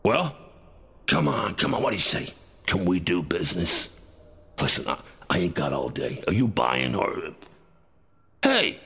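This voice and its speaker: A man voicing a seedy American huckster bird with a gravelly husky rasp, cajoling and menacing in slangy hard-sell patter for an audio drama. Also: low voice, sing-song, unhurried, pauses often, a bit bright, heavily acted. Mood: impatient, pushy, then wheedling, desperate.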